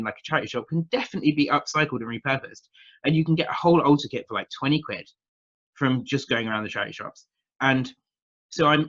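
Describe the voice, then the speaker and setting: A man's voice: medium pitch, fairly bright tone, muffled recording. A man in his thirties with a southern English accent, chatting casually as an interview guest in a rambling, hesitant way. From England, near London. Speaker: interview guest, witchcraft practitioner.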